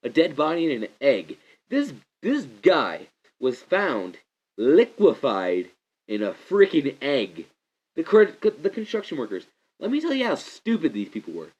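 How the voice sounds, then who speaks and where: A young man with a stuffy, congested voice gives a rambling, excitable movie review, often restarting his sentences. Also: a little high, measured pace. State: congested from a cold. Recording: slightly muffled lo-fi recording.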